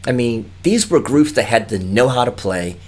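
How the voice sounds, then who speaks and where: A man's voice: mid-range voice, animated, normal speed, a little mellow. A man hosting a podcast interview in a playful, enthusiastic way, often laughing and teasing his guest. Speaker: podcast host. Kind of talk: casual interview.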